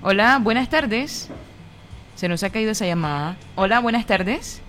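Woman running phone-in calls on the radio, quick and to the point, faint music behind. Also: mid-range voice, animated, fairly bright tone. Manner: brisk, businesslike. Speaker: radio host taking calls. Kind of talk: moderating a call-in show.

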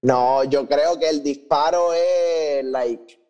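Mellow, higher-voiced man, unhurried. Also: highs cut off. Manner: switches often between Spanish and English mid-sentence. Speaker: podcast co-host. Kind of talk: casual chat reviewing an audio drama.

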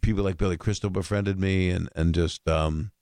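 Older male comedian, very low gravelly voice, loose rambling chat, dry studio sound. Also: steady tone, normal speed, a little mellow, no room sound. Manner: rambling, slips into jokey put-on voices. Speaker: guest comedian. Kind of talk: casual podcast chat.

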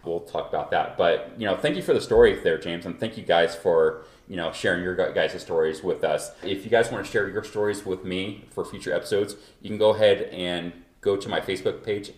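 A man's voice: sits low, fairly quick, hardly any pauses. A man from Kansas hosting a podcast in loose, rambling casual chat, feeling a bit unwell. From Kansas, USA. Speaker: podcast host. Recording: echoey room recording.

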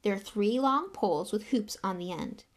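A tired schoolboy explaining things patiently and without much enthusiasm, as a woman reader voices him. His voice rises and falls quite a bit, with a gravelly edge to it. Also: mid-range voice, lively intonation, moderate pace, natural voice. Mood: resigned. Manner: resigned, matter-of-fact explaining. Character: weary reluctant boy, patient explainer. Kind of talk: audiobook character voice.

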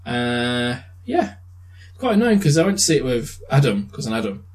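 A man's voice: medium pitch, very animated, normal speed. A young man with a blocked-up nose from a cold, chatting casually as podcast co-host, laughing often and swearing freely. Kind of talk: casual chat.

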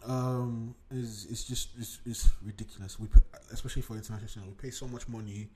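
A man's voice: medium pitch, steady tone, fast talker, dark tone, a little hiss or hum, poor audio. A young man from Nigeria in his twenties hosting a casual solo podcast from his home desk, rambling, digressing and swearing freely. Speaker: podcast host. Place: home room at a computer desk.

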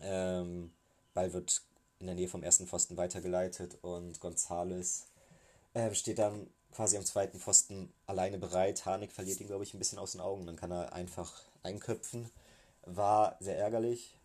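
Low, flat-voiced man with a cold. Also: normal speed. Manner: hesitant, many filler sounds, thinking aloud. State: has a cold. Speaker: football fan reviewing a match. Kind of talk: casual spoken match recap.

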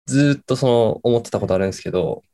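A man's voice: mid-range voice, lively intonation, dark tone, natural voice. Male actor as radio host: young, medium register, casual, stop-start rambling with sudden bursts. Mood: musing, rambling. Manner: halting, filler-heavy, then bursts of words. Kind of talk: amateur radio drama acting as casual late-night radio chat.

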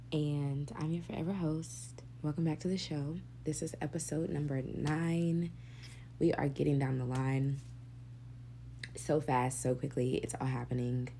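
Deep, gravelly-voiced woman podcast host. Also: fairly even intonation, unhurried, warm tone, slight background noise. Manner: candid, chatty, swears freely. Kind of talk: casual solo podcast monologue.

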